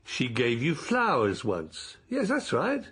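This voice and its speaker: An older actor as a slow-spoken, hesitant retiree, husky and gravelly, for a radio drama. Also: male voice, mid-range voice, huge pitch swings, exaggerated voice. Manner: hesitant, trailing, soft-spoken. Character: retired man Harold, quiet and hesitant.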